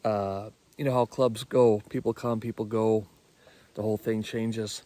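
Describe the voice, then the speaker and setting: A man's voice: on the low side, level tone, unhurried, warm tone. Casual man, amateur radio vlogger. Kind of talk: casual vlog talk.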